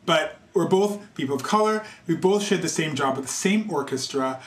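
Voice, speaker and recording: A man's voice: a little high, lively intonation, normal speed. A young adult man interviewing a fellow conductor, hesitant and full of restarts and "like". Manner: hesitant, restarts often, says "like" a lot. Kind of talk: conversational interview. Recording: roomy with faint ambience.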